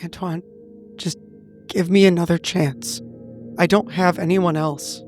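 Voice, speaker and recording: A man's voice: high-pitched, fairly even intonation, measured pace, darkish tone, soft background music. Man playing a desperate, pleading, regretful lover. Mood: begging. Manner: pleading, stammering. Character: desperate, regretful man begging his old male lover back.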